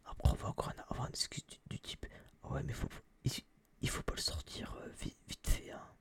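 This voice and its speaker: A young man whispering a casual, opinionated ASMR review in a breathy voice, rambling and slangy, close to a binaural microphone. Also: brisk. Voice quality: breathy, mostly unvoiced whisper. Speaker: host of an ASMR film review video.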